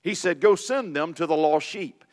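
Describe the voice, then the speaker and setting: A man preaching a sermon as pastor, his deep voice raspy and hoarse from illness, reading scripture with informal asides. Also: moderate pace. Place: church sanctuary. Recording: long reverb of a church hall.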